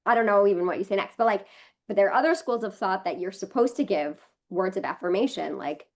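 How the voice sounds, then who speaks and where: A woman's voice: medium pitch, lively intonation, fast talker. A woman with an American accent, a guest talking casually on a podcast with many fillers. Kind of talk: casual conversation.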